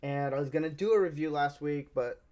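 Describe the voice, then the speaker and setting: A man's voice: fairly high pitch, fairly quick, darkish tone, highs cut off. Chatty Southern American man reviewing movies. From Southern United States. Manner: chatty, rambling, many restarts. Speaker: movie reviewer, channel host. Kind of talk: casual spoken movie review.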